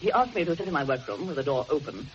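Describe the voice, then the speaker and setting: An actor playing a reserved, dutiful secretary in a radio drama, answering in careful, formal, exact sentences. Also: male voice, on the high side, big pitch swings, very fast. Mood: reserved, precise. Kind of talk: full-cast radio mystery drama acting. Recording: muffled noisy old radio recording.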